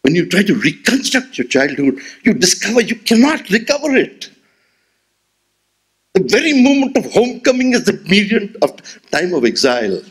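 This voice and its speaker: Husky Indian man lecturing expressively. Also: high-pitched, moderate pace. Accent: Indian English. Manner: expressive, rhetorical, gestures while speaking. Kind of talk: memorial lecture. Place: auditorium stage at a podium. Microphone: podium gooseneck mic.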